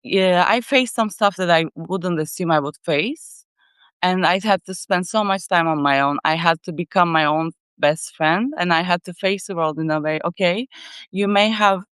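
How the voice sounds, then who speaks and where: A woman's voice: on the low side, moderate pace, fairly bright tone, smooth, dry recording. A woman from Turkey with a Turkish accent, a guest writer chatting casually on a live video call, thoughtful and searching for words.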